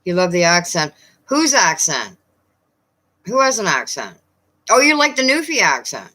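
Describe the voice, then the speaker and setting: A woman with a Newfoundland accent chatting casually with viewers on a live stream, often pausing with fillers. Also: fairly low pitch, very animated, sharp tone. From Newfoundland, Canada. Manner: chatty, hesitant, many fillers.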